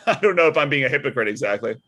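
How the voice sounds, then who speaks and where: A man's voice: medium pitch, lively intonation, quick pace, fairly bright tone. A man playing a blunt, stubborn, unbothered father of a political candidate in a comedic table read. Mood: unbothered, needling.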